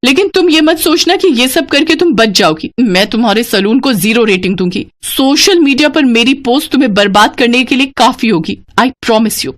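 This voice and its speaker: Woman acting an indignant, high-voiced salon customer. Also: lively intonation, moderate pace, few pauses, lightly acted. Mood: furious. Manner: indignant, accusing. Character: furious, threatening female salon customer, a bride-to-be.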